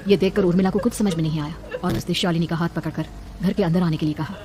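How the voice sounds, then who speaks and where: A woman's voice: fairly low pitch, fairly even intonation, quick pace. A woman narrating a scripted horror cartoon story in a dramatic storytelling style.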